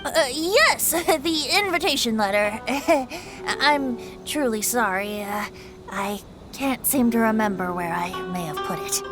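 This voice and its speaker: A woman's voice: fairly high pitch, lively intonation, a little slow, over music, low-quality recording. A woman voicing a carefree, playful boyish young bard with a light voice and theatrical manner in a video game. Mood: sheepish. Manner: playful, theatrical, flowery phrasing. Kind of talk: animated game dialogue.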